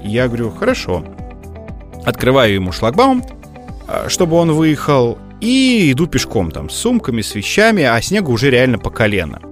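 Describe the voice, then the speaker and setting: A man's voice: medium pitch, huge pitch swings, moderate pace, faint music behind. A man co-hosting a podcast, telling things casually in a hesitant, digressive way full of fillers. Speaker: podcast co-host. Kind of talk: casual conversational storytelling.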